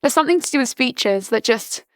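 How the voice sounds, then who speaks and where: A woman in her early twenties from Britain, chatting casually as a podcast guest, full of "like" fillers and restarts, her voice slightly hoarse. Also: sits high, animated, brisk, a bit bright, dry recording. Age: early 20s. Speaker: podcast guest, charity founder. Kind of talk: casual interview chat.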